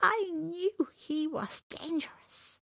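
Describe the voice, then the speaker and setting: A woman voicing a small frightened bird, breathless and gasping in high whispers. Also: very animated, measured pace, vocal fry, a bit bright, slightly rough, no room sound, studio quality, heavily acted. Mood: panicked.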